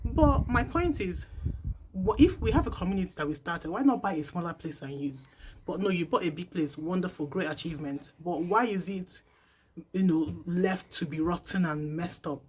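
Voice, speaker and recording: A woman from Nigeria, talking casually to camera as a vlogger from her home, animated and full of repeated rhetorical questions. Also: lowish voice, normal speed.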